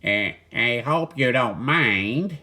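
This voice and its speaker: A man voicing a weary, polite talking duck in a storybook, with halting, drawn-out speech. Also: medium pitch, animated, pushed and bright, full character voice. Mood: hesitant, polite. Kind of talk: storybook character voice.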